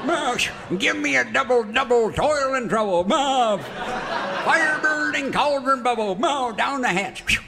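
A man's voice: extremely high pitch, expressive, measured pace, creaky, over loud music, a loud crowd, heavily acted. A man playing a hammy country-bumpkin Shakespearean actor, declaiming in an overblown, folksy way for a comedy radio sketch. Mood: boisterous.

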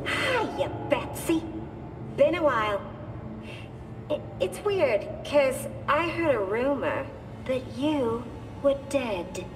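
A woman's voice: high voice, very animated, slow, long pauses, background noise, heavily acted. An actress playing a playful, mocking female criminal villain in a video game cutscene. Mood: playful, taunting. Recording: distant, reverberant, music underneath. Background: music.

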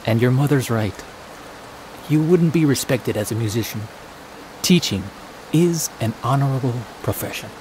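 An actor playing a grave, patronizing father figure from the early 1900s, with a formal, measured manner, in an audio drama. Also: medium pitch, animated, darkish tone, a little hiss or hum, natural voice, poor audio. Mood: grave, resigned.

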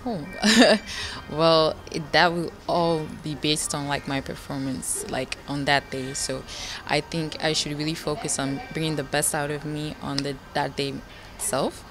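Young woman from belgium, deep voice, lots of likes and ums, music playing behind. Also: steady tone, unhurried. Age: young adult. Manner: hesitant, many fillers and "like". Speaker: pageant contestant. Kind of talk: casual interview answers. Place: indoor lounge on a sofa. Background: background music.

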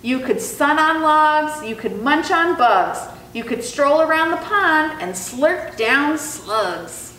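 A woman's voice: high voice, lively intonation, slow, hardly any pauses, bright, forceful tone, heavily acted. A woman voicing a helpful pond frog in a children's storybook, encouraging and rhythmic. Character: helpful pond frog suggesting a new life. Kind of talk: expressive children's storybook character reading. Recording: roomy sound with faint noise.

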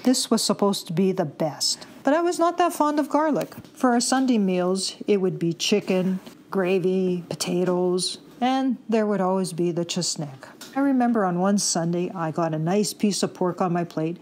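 A woman's voice: lowish voice, moderate pace, a little mellow, a little hiss or hum. A middle-aged Canadian woman telling a chatty personal anecdote from her youth. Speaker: listener contributor.

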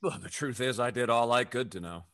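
A young man playing a suave, faithless gentleman rake in a Restoration comedy, glib and mocking. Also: mid-range voice, brisk, close to an everyday voice. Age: young adult. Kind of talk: theatrical period stage acting over video call. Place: dim indoor room, on video call.

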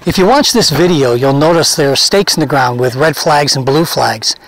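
Male host for casual on-site video update, slightly high voice, faint background noise. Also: moderate pace, a bit bright, rough recording. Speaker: host and interviewer. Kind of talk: informal video update, interviewing and narrating. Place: outdoors on a golf course under construction.

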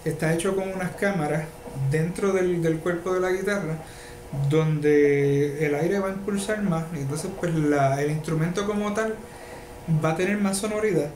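A middle-aged man with a Puerto Rican accent, chatting haltingly as an interview guest. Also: fairly high pitch. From Puerto Rico and the mainland United States. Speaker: interview guest, luthier and musician. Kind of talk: casual interview talk. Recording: echoey room, faint background noise.

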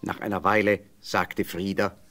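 A man's voice: deep voice, level tone, normal speed, a bit bright, soft background music. A man narrating a scripted fairy tale as a storyteller.

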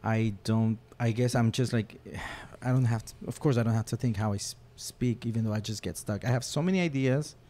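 A man with a middle-pitched voice tells rambling stories as a podcast guest. Spanish is his first language, and he slips into quick impressions of the people he describes. The talk is loose and casual. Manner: animated storyteller who acts out other people's voices. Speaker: podcast guest, rock photographer. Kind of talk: casual chat.

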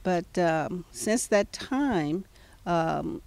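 An older woman answering as a customer, soft-spoken and polite, pausing often with fillers, recorded outdoors on a handheld mic. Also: lowish voice, lively intonation, vocal fry, background noise. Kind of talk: casual testimonial answers. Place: outdoors in front of a house. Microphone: handheld mic held by the interviewer.

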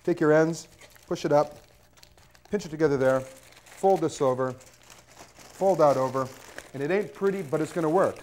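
Cheeky male cooking host, thirties, slightly high voice, jokey studio demo. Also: moderate pace, long pauses, darkish tone, slight background noise. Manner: cheeky, joking, talks to camera. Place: studio kitchen set.

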